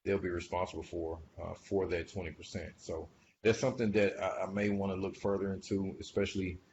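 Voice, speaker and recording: A man with a very deep voice gives informal financial commentary. He muses hesitantly and lets phrases trail off. The recording is dull and lo-fi, with faint noise behind him. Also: flat delivery, brisk, vocal fry, darkish tone. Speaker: investor commentator. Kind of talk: informal earnings call commentary. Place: screen-share voice-over recording.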